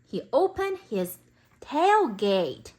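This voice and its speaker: A woman reading a storybook aloud expressively for toddlers, in simple deliberate phrases. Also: a little high, sing-song, darkish tone. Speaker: storybook reader.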